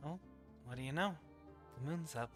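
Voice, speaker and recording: A man's voice: on the high side, moderate pace, over music. A man giving casual, rambling live commentary as a game streamer, with wry asides.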